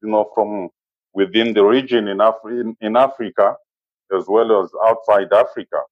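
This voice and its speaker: A man in his forties with a Zimbabwean accent, speaking as an interview guest in a measured, halting way and often saying "you know". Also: lowish voice, fairly even intonation, no room sound, dull audio. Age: 40s. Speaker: interview guest, safari business owner. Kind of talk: conversational interview, personal recollection.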